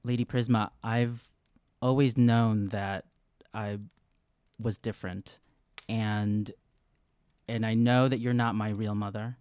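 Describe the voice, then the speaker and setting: A man in his thirties plays a tabletop role-playing game, improvising dialogue and description. He has a fairly low voice and an earnest, halting way of talking, recorded close with a dry sound. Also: fairly even intonation, darkish tone, smooth, dry recording. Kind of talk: improvised in-character role-play and casual description. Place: small room, indoors. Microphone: close studio microphone on stand.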